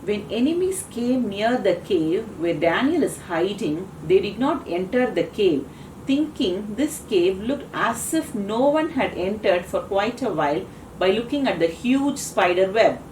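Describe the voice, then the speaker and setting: A woman's voice: mid-range voice, big pitch swings, unhurried, darkish tone. A woman narrating a scripted children's puppet show story. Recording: roomy echo, faint background noise.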